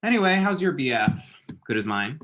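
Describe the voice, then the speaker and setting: A man's voice: medium pitch, big pitch swings, a little fast, a bit bright, a little hiss or hum. A man playing a lovelorn, self-mocking young guy. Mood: breezy banter. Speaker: actor at an online screenplay table read. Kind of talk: conversational comedy screenplay reading.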